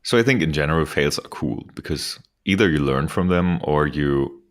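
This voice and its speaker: Very deep-voiced man cohosting a podcast. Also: moderate pace. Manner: joking, laughs often. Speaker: podcast co-host. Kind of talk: casual podcast chat. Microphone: podcast microphone over video call.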